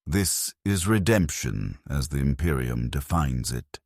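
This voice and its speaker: Very deep, solemn male narrator. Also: animated, gravelly, darkish tone, no room sound. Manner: solemn, grave, measured, deliberate phrasing. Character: bleak, resigned ghost of a condemned legionnaire. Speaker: story narrator. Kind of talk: scripted first-person dark sci-fi narration, dramatic monologue.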